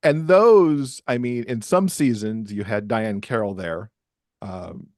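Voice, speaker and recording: Casting: man, lower-register voice, chatty podcast guest, casual delivery, dry recording. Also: a little slow, darkish tone, dry recording. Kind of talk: casual conversational interview.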